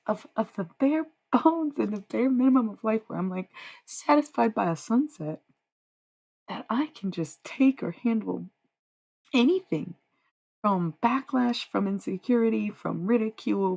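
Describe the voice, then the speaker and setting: A woman's voice: on the high side, vocal fry, a little mellow, husky, low-quality recording. A young woman livestreaming, chatting with viewers in a rambling, dreamy way and swearing freely. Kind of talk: casual livestream chat with viewers.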